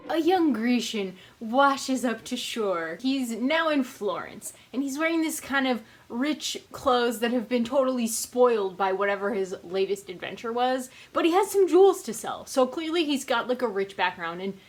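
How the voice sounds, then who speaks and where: High-voiced woman chatting through a book review. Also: animated, moderate pace, hardly any pauses. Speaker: book reviewer and channel host. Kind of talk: casual scripted-loose book review to camera.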